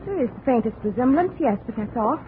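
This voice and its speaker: An actress playing a haughty, indignant wife with a formal, imperious manner, in 1950s radio drama style. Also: female voice, on the high side, animated, fast talker, lightly acted. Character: haughty, indignant society wife denying everything. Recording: faintly noisy, tinny old radio recording.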